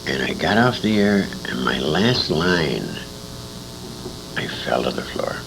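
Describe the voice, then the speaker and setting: Deep, gravelly older American man reminiscing. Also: big pitch swings, slow. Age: 60s. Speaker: interviewee, former radio actor. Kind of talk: anecdotal reminiscence in an interview. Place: recorded interview at a convention. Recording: noisy 1980s amateur tape with rumble.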